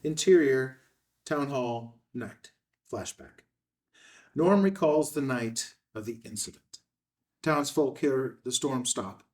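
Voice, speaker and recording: A man's voice: mid-range voice, takes it slowly, a little mellow, recorded from a distance. A man reading scene headings and stage directions in a clipped, scripted narration at a table read.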